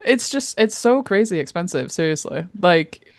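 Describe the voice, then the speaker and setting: A woman with a slightly low voice co-hosts a casual gaming podcast. She speaks informally and bluntly, with exasperated emphasis. The recording is very dry, free of room sound. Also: very animated, moderate pace, no room sound. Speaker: podcast co-host.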